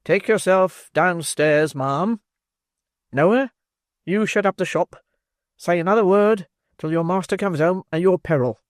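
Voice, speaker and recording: A man's voice: high-pitched, a little slow, no room sound, studio quality, pitched above the performer's own voice. A man voicing a pompous, indignant older parish beadle in an audiobook reading. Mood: stern. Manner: pompous, blustering.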